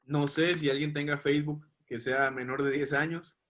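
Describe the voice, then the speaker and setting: A man's voice: on the high side, steady tone, normal speed, fairly bright tone, slight background noise. A man teaching a class, explaining patiently and checking that the students follow. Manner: patient, checks understanding with questions.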